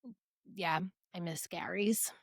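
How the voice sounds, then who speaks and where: A woman's voice: on the low side, measured pace, creaky, no room sound, studio quality. An American woman from New Jersey chatting casually as a podcast co-host. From New Jersey, United States. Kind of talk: casual chat.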